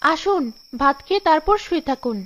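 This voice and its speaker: Woman voicing a high-pitched long-suffering cartoon wife. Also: a little fast, a bit bright. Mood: patient, firm. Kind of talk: cartoon dialogue. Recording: dull lo-fi audio with music behind.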